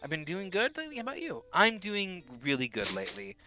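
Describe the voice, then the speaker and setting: A man's voice: high voice, wildly swooping pitch, fast, bright, forceful tone, soft background music. A man in his twenties casually chatting with his live-stream chat while playing a game, rambling and answering messages, a little sick. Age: 20s. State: a bit sick, on bed rest.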